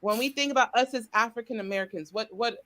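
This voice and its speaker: A woman's voice: fairly high pitch, fast talker, bright, forceful tone, a little hiss or hum. A woman speaking earnestly as a panelist, speeding up when she gets stirred. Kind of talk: panel discussion.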